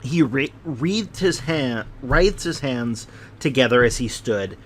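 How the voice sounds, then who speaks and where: A man reading a classic novel aloud as narrator in a steady, plain manner. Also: medium pitch, takes it slowly, a little hiss or hum. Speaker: audiobook reader.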